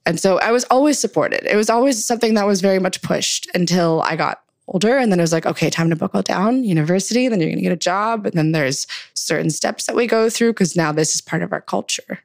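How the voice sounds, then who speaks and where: A woman's voice: mid-range voice, quick pace, vocal fry, hardly any pauses, no room sound, clean studio sound. A young woman from Canada, a guest talking casually in an interview with plenty of "like" and "um". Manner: chatty, many fillers like "like" and "um". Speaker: guest, writer.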